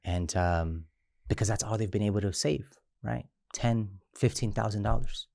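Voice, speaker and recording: A man in his thirties from Mexico, an immigrant rights organizer speaking in an interview, halting with frequent restarts. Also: fairly low pitch, gravelly, darkish tone, dry recording, clean studio sound. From Chiapas, Mexico. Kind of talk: interview conversation.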